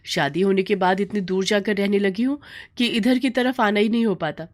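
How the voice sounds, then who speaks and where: A woman's voice: mid-range voice, moderate pace, natural voice. Woman playing a chatty young married friend. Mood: affectionate. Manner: chatty, familiar.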